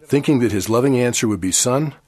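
An older man from Chile giving a formal church sermon in a measured, earnest way. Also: medium pitch, darkish tone. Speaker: church speaker.

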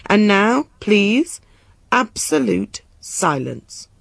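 A reader voicing a calm, deferential storybook enchanter, a man who is polite, measured and placating. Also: medium pitch, gravelly, no room sound, heavily acted. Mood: assured. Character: calm, deferential male enchanter, placating but sure of himself. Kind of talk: storybook character voice.

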